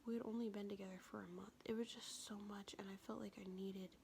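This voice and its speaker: A young woman telling a personal story in a soft, confessional whisper, vlog style. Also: medium pitch, fairly even intonation, quick pace, creaky, a little hiss or hum.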